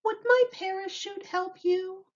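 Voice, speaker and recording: A woman voicing a small, timid, chirping bird in a children's storybook, squeaky and helpful. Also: fairly even intonation, unhurried. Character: small chirpy bird afraid of flying high. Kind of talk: children's storybook read-aloud. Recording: dull lo-fi recording.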